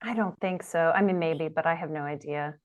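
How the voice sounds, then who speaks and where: A woman's voice: sits low, a little fast, lo-fi sound. A woman actor, guest on an interview show, chatting casually and laughing easily over a video call. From Delaware, USA.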